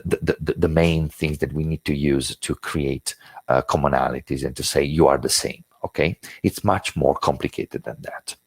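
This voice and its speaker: A man's voice: extremely low pitch, creaky, husky, dry recording. A man with an Italian accent giving an online lecture as a webinar presenter, talking with many fillers and restarts. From Italy.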